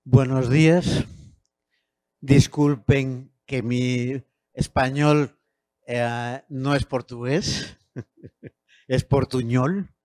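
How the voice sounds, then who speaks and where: A man in his sixties from Spain, with a Castilian Spanish accent, giving a measured academic lecture into a handheld mic in a university auditorium, with hesitant fillers. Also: mid-range voice, steady tone, darkish tone, no echo. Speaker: guest lecturer.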